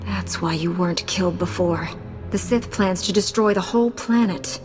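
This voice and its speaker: A woman's voice: on the low side, moderate pace, natural voice. An actress playing a hard, vengeful female Jedi knight in a video game, curt and cold. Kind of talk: video game cutscene acting. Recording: long hall reverb, music behind.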